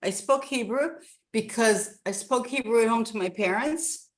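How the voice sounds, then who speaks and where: A woman's voice: on the high side, normal speed. A woman in her sixties from Canada, a guest telling her life story in a rambling, casual chat with many restarts.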